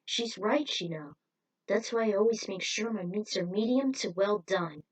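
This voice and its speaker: A woman playing a steady, genial older man who runs a diner, speaking in a measured, plain way for a story. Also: medium pitch, distant mic, close to an everyday voice, low-quality recording. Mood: genial, matter-of-fact.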